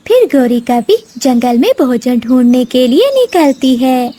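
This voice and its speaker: A woman's voice: high voice, very animated, unhurried, warm tone. A woman narrating a scripted children's bird story as the storyteller.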